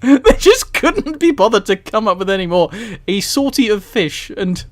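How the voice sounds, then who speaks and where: A live streamer with a mid-pitched voice reads aloud in a casual, chatty way. The delivery is amused and incredulous, breaking off for mildly sweary commentary to the viewers. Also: big pitch swings, moderate pace. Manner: amused, often laughing while reading. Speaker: streamer and host.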